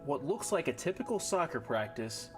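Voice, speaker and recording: A man narrating a TV news feature story in a measured storytelling voice. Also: medium pitch, very animated. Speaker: TV news reporter. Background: music.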